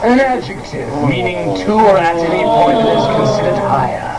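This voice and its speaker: A man's voice: sits high, animated, normal speed, gravelly, over a noisy crowd. A man playing an urgent, melodramatic spy chief who briefs an agent with overdramatic emphasis in a live comedy radio play. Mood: mock-grave. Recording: noisy old cassette tape recording.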